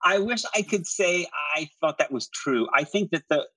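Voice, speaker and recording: A man's voice: a little high, animated, normal speed, a loud crowd. A man speaking as an interview guest, explaining politics and history in an articulate, discursive, emphatic conversational way. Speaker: interview guest, writer and commentator.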